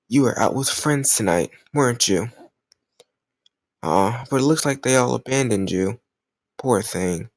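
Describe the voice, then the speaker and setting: Man playing a cocky, gravelly flirt. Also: on the low side, no room sound, studio quality. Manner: teasing, cajoling, smug, drawn-out words. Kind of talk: intimate romantic audio roleplay.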